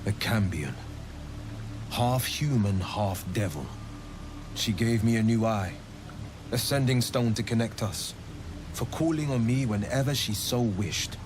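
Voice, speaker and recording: Slow, low-voiced haunted hero played by a man. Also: background noise, close to an everyday voice. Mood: bitter, intense. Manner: measured, solemn, confessional storytelling. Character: earnest, haunted heroic monster hunter, a man. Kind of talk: dramatic video game monologue.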